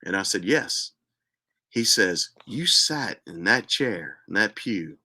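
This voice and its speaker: A man earnestly telling a personal spiritual story as host, repeating himself for emphasis and sometimes dropping to a hushed voice. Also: on the low side, very animated, slow. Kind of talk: personal testimony monologue.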